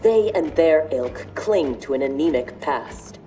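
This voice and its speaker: A woman's voice: low voice, lively intonation, unhurried, warm tone, soft background music, poor audio. A woman playing a hard, militant futuristic warrior in a video game, with clipped, formal, cold delivery. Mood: cold, defiant. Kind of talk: dramatic video game cutscene acting.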